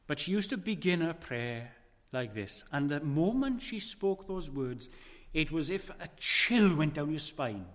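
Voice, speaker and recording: A man preaching a sermon, slow and weighty, his voice dropping low and quiet for emphasis. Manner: slow, weighty preaching with dramatic drops in volume. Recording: long hall reverb.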